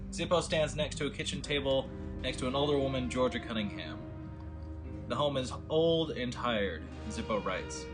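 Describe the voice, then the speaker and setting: Guy hosting a table read over a call, rambly with lots of ums, mid pitch voice, faint music behind. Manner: rambling, filler-heavy, offhand. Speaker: writer and host of the table read. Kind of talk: casual chat and reading stage directions. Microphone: video call with desktop audio. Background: score music.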